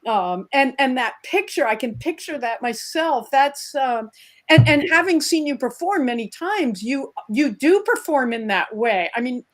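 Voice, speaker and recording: A woman speaking thoughtfully as an interviewer, pausing mid-sentence as she shapes her remarks. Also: high voice, lively intonation. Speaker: guest interviewer, music teacher. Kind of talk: conversational interview.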